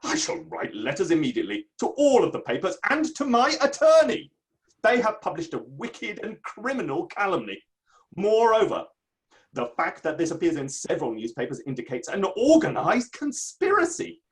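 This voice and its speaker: Woman playing a wildly theatrical, indignant Victorian gentleman writer. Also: lowish voice. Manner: theatrical, declamatory, florid. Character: hot-tempered, indignant Victorian male writer, pompous and vindictive. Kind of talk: dramatic play reading.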